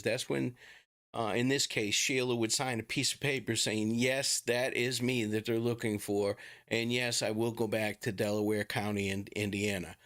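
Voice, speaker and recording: A middle-aged man with a Boston accent talks casually and haltingly to viewers as a livestream host. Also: medium pitch, a bit bright. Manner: informal, chatty, halting.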